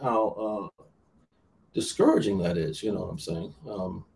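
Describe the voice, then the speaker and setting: A man's voice: deep voice, a little slow, vocal fry, darkish tone, distant mic. Earnest man preacher, a little off-mic. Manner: earnest, many restarts and repeated words. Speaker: minister. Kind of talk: podcast interview talk.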